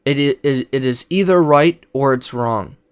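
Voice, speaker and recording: A man's voice: mid-range voice, moderate pace, clear, resonant tone, muffled recording. A man giving an unscripted spoken commentary on religion in a didactic, argumentative manner.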